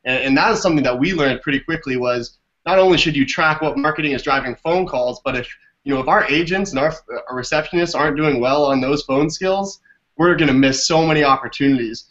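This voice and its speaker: A man's voice: fairly high pitch, a little fast, fairly bright tone. A man answering as an interview guest over a video call, explaining things conversationally. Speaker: interview guest, business development director. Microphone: video call microphone. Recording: dull lo-fi video call audio.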